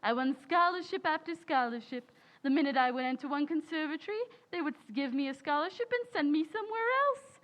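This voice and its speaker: A woman's voice: high voice, lively intonation, brisk. A woman playing a grand, gushing, self-satisfied society matron in an affected, theatrical old-time radio comedy style. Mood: gushing, haughty. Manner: affected, hoity-toity, theatrical.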